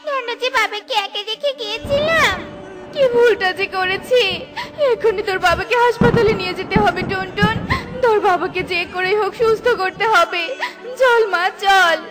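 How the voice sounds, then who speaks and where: A worried mother songbird voiced by a woman in a children's cartoon. The voice is extremely high and plaintive, full of melodrama. Music runs clearly behind it. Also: normal speed. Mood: wailing, distressed. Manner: plaintive, lamenting. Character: worried, tearful mother tailorbird, devoted wife.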